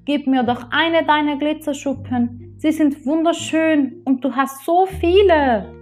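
Little blue fish, high and pleading, eager and timid, storybook, faint music, a woman voicing it. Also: unhurried, a little mellow.

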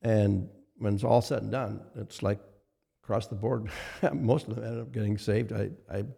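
An older man, a minister, recalling ministry history in a casual interview, speaking haltingly and repeating phrases for weight. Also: fairly low pitch, steady tone, gravelly, dark tone, breathy edge. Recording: long reverberant hall echo.

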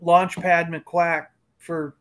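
A man's voice: a little high, level tone, extremely slow. A middle-aged man in casual chat, talking in a rambling, emphatic way and repeating himself. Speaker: collector and panelist on a fan livestream.

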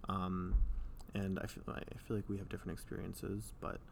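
A man's voice: sits low, level tone, normal speed, gravelly, slight background noise. A young man hosting a podcast, chatting loosely and jokingly, with a lot of "like" and other fillers. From Canada. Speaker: podcast host. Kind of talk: casual podcast chat.

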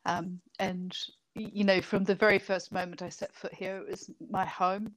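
A woman's voice: medium pitch, level tone, normal speed, rough recording. A middle-aged British woman, a former lawmaker, talking as an interview guest in a chatty, rambling way and laughing easily. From Britain. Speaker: guest, former member of parliament. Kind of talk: casual interview chat.

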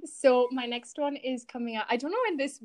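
A woman's voice: high-pitched, fairly even intonation, brisk. Young woman with a shifting Scottish-American accent. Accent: Scottish mixed with American. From Spain and Scotland. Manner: chatty, informal. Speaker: podcast guest, video creator. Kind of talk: casual chat.

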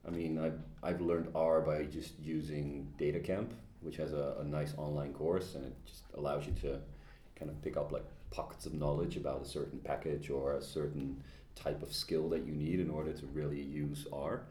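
Very deep-voiced man. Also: little pitch movement, moderate pace, few pauses, dark tone, roomy sound, a little hiss or hum. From Netherlands. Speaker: panelist, freelance digital analyst. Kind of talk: panel discussion. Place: meeting room.

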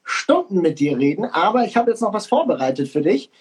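A host chatting in a relaxed, informal way and encouraging the guest to talk. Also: medium pitch, a little fast. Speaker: interview host. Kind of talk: casual interview chat.

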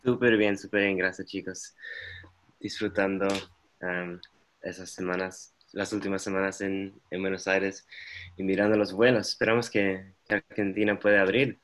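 A man's voice: low-pitched, little pitch movement, normal speed, fairly bright tone. A man chatting casually on a podcast, laid-back and trailing off.

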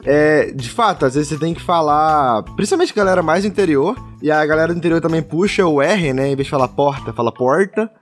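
Higher-pitched man with a Belo Horizonte accent. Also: a little fast, few pauses. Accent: Belo Horizonte mineiro Brazilian. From Minas Gerais, Brazil. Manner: slangy, explanatory. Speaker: podcast panelist. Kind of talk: casual group chat. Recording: music under the voice.

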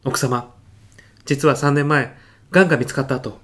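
A devoted physicist protégé played by a man in a short radio comedy. His voice sits at a medium pitch. He talks with formal, reverent seriousness, telling his tale in a deadpan, grandiose way. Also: measured pace. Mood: solemn. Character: earnest, reverent male physicist, devoted protégé of late professor. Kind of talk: audio comedy sketch acting.

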